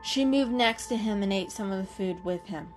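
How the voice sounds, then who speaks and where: A woman's voice: medium pitch, moderate pace. A woman narrator giving a scripted, dramatic reading of an animal story. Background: music.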